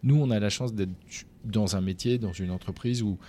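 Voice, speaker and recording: A lower-voiced man speaks as a business founder being interviewed, in a frank, colloquial, animated way. He keeps restarting his sentences and filling gaps with hesitations. A faint rumble sits under the voice. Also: moderate pace. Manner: frank and colloquial, lively, with many fillers and restarts. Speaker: company founder and CEO, guest. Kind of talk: casual conversational interview.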